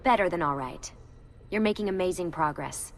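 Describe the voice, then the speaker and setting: A woman's voice: fairly low pitch, moderate pace, slight background noise, lightly acted. A woman playing an earnest, reassuring researcher in a video game. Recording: long hall-like reverb.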